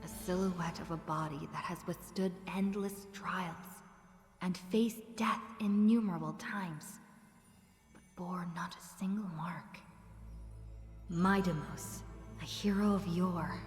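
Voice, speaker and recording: A woman playing a solemn storyteller in a game, narrating a hero's legend in a measured, grave, theatrical manner. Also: fairly low pitch. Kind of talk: scripted dramatic narration. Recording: echoey hall reverb, loud music behind.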